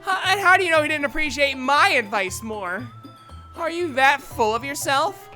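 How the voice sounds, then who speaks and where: A woman's voice: fairly high pitch, lively intonation, normal speed, pushed and bright, faint music behind. Woman acting a feisty, snappy teenage girl. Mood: furious. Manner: snappy, confrontational. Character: feisty, easily angered, blunt teenage girl. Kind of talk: anime visual novel fandub.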